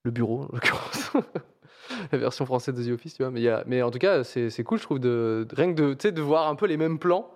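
A man hosting a relaxed podcast chat in informal, slangy and halting speech. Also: mid-range voice. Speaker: podcast host. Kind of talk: casual chat.

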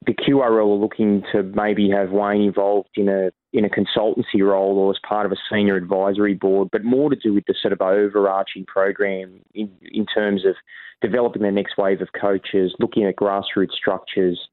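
A man's voice: fairly low pitch, little pitch movement, normal speed, no room sound. Australian man on a tinny phone line. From New South Wales, Australia. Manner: talkative, many restarts and self-corrections. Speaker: rugby league journalist guest. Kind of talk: casual podcast interview. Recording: dry, phone-like narrow sound.

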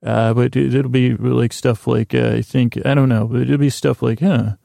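A man's voice: mid-range voice, level tone, moderate pace, dark tone, no echo, clean studio sound. A man hosting a solo podcast in a rambling, stammering monologue, often interrupting himself and drifting off with mock-earnest, self-deprecating whimsy. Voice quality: creaky, gravelly. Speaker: podcast host.

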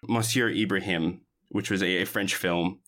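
A woman's voice: rumbling low, fairly even intonation, normal speed, a bit bright. A woman co-hosting a casual movie podcast, recapping the plot with wry sarcasm. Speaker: podcast co-host.

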